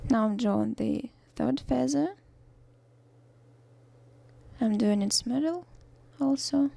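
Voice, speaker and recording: A young woman, a native Russian speaker with a Russian accent, narrates a drawing tutorial in halting, soft-spoken English with many fillers and restarts. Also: fairly high pitch, gravelly.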